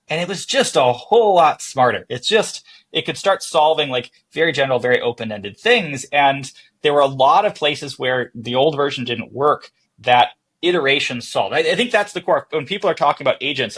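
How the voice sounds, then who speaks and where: Husky man explaining tech conversationally. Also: medium pitch, lively intonation, fairly quick, fairly bright tone. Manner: explanatory, casual, acting out thoughts as quotes. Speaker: interview guest, software company founder and chief executive. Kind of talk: conversational technical explanation in a podcast interview.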